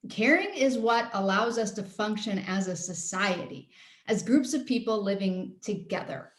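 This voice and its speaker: An actress playing an earnest, caring woman, halting as she searches for words, in a play reading over a video call. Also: medium pitch, animated, close to an everyday voice. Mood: exasperated, earnest. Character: earnest, caring woman pleading with a cynical friend. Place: roomy space, live Zoom call. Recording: roomy echo on video call.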